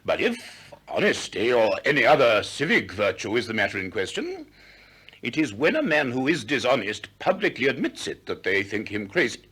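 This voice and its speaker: Eloquent sophist, husky, swooping intonation. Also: male voice, mid-range voice, sing-song, normal speed, fairly bright tone. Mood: confident lecturing. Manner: assured, expansive, lecturing. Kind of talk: 1960s radio drama oratory.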